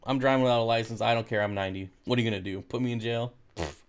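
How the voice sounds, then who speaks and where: A man's voice: mid-range voice, steady tone, rapid-fire. Laid-back American man chatting casually. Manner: laid-back, jokey, laughs readily. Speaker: livestream host reading and answering viewer comments. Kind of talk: casual livestream chat.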